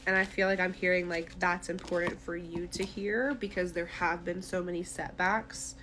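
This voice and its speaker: A woman's voice: on the low side, steady tone, normal speed, background noise. A woman tarot reader speaking directly to the listener in a conversational, reassuring, therapy-minded way during an intuitive reading.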